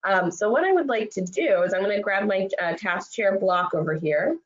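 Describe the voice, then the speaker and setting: A woman with a slight cold and a congested voice, leading a software training webinar in a friendly way with lots of fillers. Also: mid-range voice, lively intonation, normal speed. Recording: dull lo-fi web audio.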